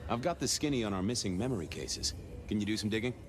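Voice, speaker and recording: An actor playing a wry, probing detective in a video game, coolly conversational and casually polite. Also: male voice, on the low side, expressive, fast, natural voice. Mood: businesslike. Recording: clear background ambient noise.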